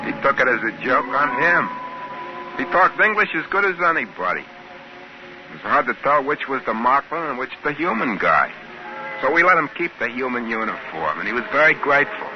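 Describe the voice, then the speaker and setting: A man's voice: medium pitch, sing-song, moderate pace, noisy background, lightly acted. An actor playing a plainspoken, wistful spaceman narrating in a homespun, colloquial way, in 1950s radio drama style on an old muffled recording. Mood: reminiscing.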